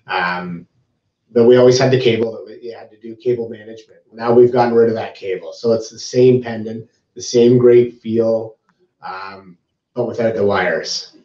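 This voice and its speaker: A man's voice: lowish voice, steady tone, normal speed, warm tone. A man from a sawmill company talking on a video call, enthusiastic and hands-on, often repeating himself. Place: office room, seated with a colleague. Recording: roomy, dull video call audio.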